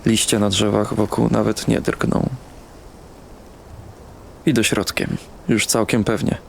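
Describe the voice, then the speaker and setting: An actor plays a numb, troubled young man telling his own story in a radio play. His voice is low and full of gravelly fry, subdued and halting, in short first-person sentences. Ambient noise runs underneath. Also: flat delivery. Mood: numb, uneasy. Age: young adult.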